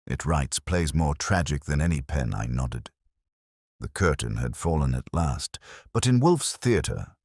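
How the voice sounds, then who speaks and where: A male narrator tells a detective story in a very low voice. His pitch moves expressively through the sentences, and the sound is a little husky and closely dry. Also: dry recording. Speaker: audiobook narrator.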